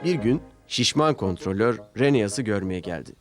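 Male children's story narrator over loud music. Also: fairly low pitch, moderate pace. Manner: expressive storytelling. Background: background music.

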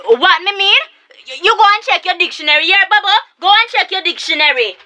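A woman's voice: very high voice, lively intonation, fairly quick, pushed and bright, exaggerated voice. An actress playing a pushy, wheedling, impatient girlfriend with a Caribbean accent in a radio comedy drama.

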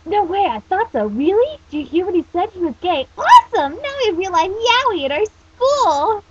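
A woman voicing a gossipy, excitable schoolgirl in a fan story, gushing and exclaiming. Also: extremely high pitch, moderate pace, voice pushed up. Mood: gleeful excitement. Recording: dull lo-fi home recording.

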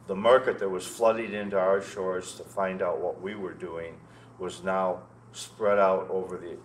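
An older American man in his sixties reminiscing conversationally as an interview guest, halting and often repeating words. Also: low voice. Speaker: interview guest, loudspeaker maker. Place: indoor show room, seated. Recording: noticeable background noise, room ambience.

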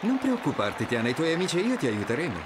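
A man playing an enthusiastic, devoted young prince in an animated game dub. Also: on the high side, very fast, background noise. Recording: crowd and street ambience behind.

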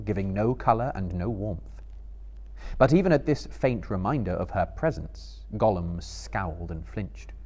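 Low-voiced man reading a story dramatically. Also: darkish tone. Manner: measured, solemn storytelling. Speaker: reader performing for a live audience. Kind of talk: dramatic literary narration. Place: small indoor stage before an audience.

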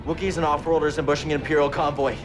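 An actor playing a determined young hero in a video game, speaking in an earnest, understated, dryly wry way. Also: male voice, a little high, flat delivery, very fast, lightly acted. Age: young adult. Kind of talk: naturalistic video game acting. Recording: game music behind the voice. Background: clear cinematic music.

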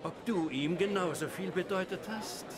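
An actor playing a sly, smug schemer in a game, taunting and silky in a theatrical way. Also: male voice, sits high, moderate pace, lightly acted. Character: sly, smug stranger, a tempting male schemer. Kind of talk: dramatic game voice acting. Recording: loud crowd chatter behind.

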